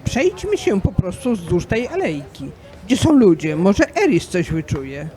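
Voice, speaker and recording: High, animated male god, played by a man. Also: unhurried, exaggerated voice. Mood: practical. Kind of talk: amateur radio play acting.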